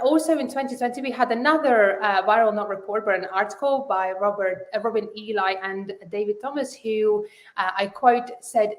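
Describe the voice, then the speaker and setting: A woman's voice: mid-range voice, reverberant. A woman hosting a live online panel, welcoming viewers and framing the topic with many fillers and restarts. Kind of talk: live webinar moderation.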